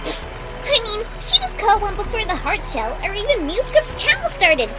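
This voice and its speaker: A woman voicing a bubbly, cheeky female cartoon pony with a squeaky voice in a fan audio drama. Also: wildly swooping pitch, brisk, full character voice, low-quality recording. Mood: excited. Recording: voice under loud music.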